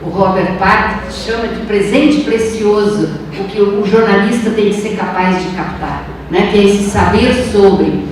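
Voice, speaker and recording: A woman's voice: medium pitch, unhurried, few pauses, darkish tone. A Brazilian woman academic answering on a panel in an emphatic, didactic way, returning to key phrases. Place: large echoing room at a university event. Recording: very echoey room, distant mic, faint noise.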